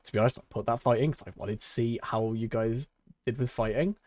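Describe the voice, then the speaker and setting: Laughing game master. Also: male voice, mid-range voice, a little fast, a little mellow, dry recording. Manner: laughs often, many ums and restarts. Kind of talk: running a tabletop game.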